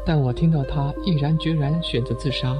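A man's voice: on the high side, steady tone, fairly quick, over music, natural voice. A man playing a serious middle-aged member of a wealthy family in a detective audio drama. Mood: serious, measured.

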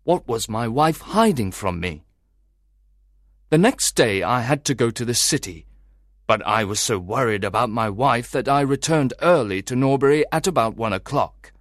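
Actor as a worried husband, very animated storyteller. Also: male voice, medium pitch, moderate pace, dry recording, clean studio sound, natural voice. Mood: uneasy, recounting. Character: worried, suspicious husband recounting his troubling story to a detective. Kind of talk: dramatised audiobook narration.

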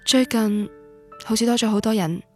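Woman acting proud lonely student girl, wistful cantonese radio drama monologue, highish voice, music behind. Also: moderate pace, close to an everyday voice. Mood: reflective. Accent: Guangdong Cantonese. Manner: wistful, confessional, literary. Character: proud, romantic, lonely young female student narrating her memories. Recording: music under the voice.

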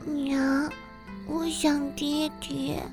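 Very high, sweet little girl. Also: little pitch movement, full character voice. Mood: pleading. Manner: childish, cutesy, simple halting phrases. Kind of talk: Chinese period audio drama acting. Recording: background music under speech.

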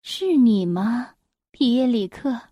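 A young storybook mother, tender and sorrowful, acted by a woman narrator. She speaks in a hushed, soothing murmur and lingers slowly over her words. The sound is dry and close. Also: fairly high pitch, expressive, no echo, lo-fi sound. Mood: tender wonder.

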